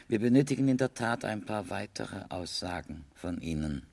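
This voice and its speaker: A methodical police captain in a seventies radio crime drama. His creaky voice moves expressively up and down, formal and insistent, in a dry studio sound. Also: low-pitched, animated, moderate pace, darkish tone, dry recording, exaggerated voice. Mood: controlled, probing. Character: probing, authoritative male police captain, methodical interrogator. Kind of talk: 1970s German radio crime drama acting.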